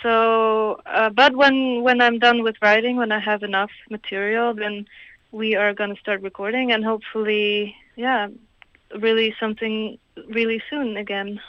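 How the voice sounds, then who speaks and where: A woman's voice: fairly high pitch, little pitch movement, moderate pace, smooth, no room sound, lo-fi sound. A woman from Croatia, a singer-songwriter answering interview questions in a hesitant, thoughtful way with small laughs. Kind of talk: radio interview guest.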